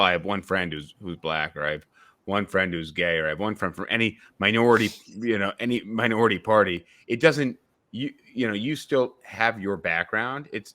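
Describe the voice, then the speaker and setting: A young man hosts a casual discussion podcast in a very low, bright-toned voice. He rambles as he thinks aloud, restarting sentences and filling gaps with "you know." His talk is loose and conversational. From suburbs of Albany, New York. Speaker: podcast host.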